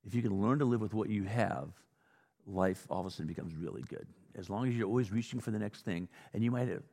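Low-voiced American preacher in a reverberant hall. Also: male voice, quick pace, mellow. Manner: informal, acts out dialogue, exhorting. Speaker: pastor preaching. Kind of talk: conversational sermon with anecdotes.

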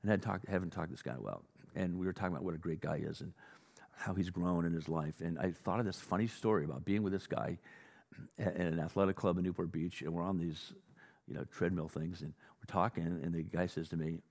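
Low-voiced American preacher in a reverberant hall. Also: male voice, fast talker, creaky, darkish tone. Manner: informal, acts out dialogue, exhorting. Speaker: pastor preaching. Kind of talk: conversational sermon with anecdotes.

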